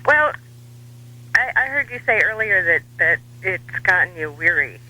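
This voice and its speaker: An American man giving political commentary as a radio guest, wry and dryly humorous, with measured hesitations. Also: high-pitched, sing-song, sharp tone, poor audio. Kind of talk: talk radio interview.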